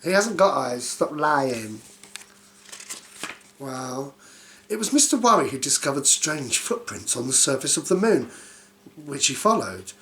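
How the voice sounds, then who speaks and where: A woman's voice: a bass voice, very animated, a little slow, long pauses, a bit bright, a little hiss or hum. A woman reading a children's story aloud in a silly, theatrical way, dropping in playful asides.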